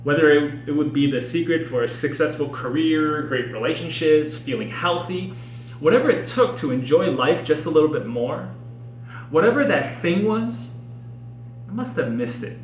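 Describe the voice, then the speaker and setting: A man in his late thirties reading his own personal writing aloud, earnestly and emphatically, with dramatic pauses. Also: fairly high pitch, animated. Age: late 30s. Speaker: author and podcast host reading own book. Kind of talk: expressive audiobook-style personal narration. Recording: roomy echo, faint background noise.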